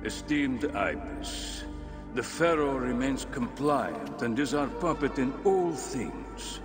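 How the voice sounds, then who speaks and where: Coolly official schemer voicing a letter, mid-pitched, game drama with prominent music. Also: male voice, very animated, slow. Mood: cool, formal. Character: calm, formal conspirator dictating a secret letter. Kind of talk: scripted letter reading in video game.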